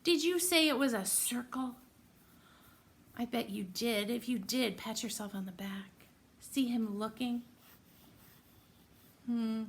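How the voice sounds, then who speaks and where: A woman's voice: fairly high pitch, slow pace, long pauses. A woman reading a storybook aloud to young children, encouraging them and putting questions to them directly as friends. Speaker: children's read-aloud presenter.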